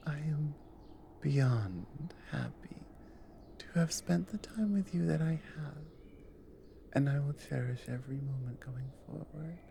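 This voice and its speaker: Woman acting a sleepy devoted nordic adventurer wife, asmr roleplay, slow n mellow, breathy half whispers, bit of background noise. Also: very deep voice, full character voice. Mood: tender, wistful. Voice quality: breathy, often slipping into whisper. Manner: slow, soft, tender with pauses.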